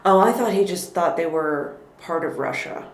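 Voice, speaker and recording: Woman, lowish voice, thoughtful, casual talk, echoey room. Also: moderate pace. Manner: thoughtful, qualifies her words, frequent restarts. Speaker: podcast guest. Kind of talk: casual political conversation. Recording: echoey room with faint noise.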